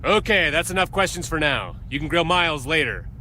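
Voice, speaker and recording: An actor playing a stern military captain in a video game, giving a formal, authoritative briefing with clipped delivery. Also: male voice, a little high, expressive, a little fast, pushed and bright, a little hiss or hum. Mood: stern, commanding.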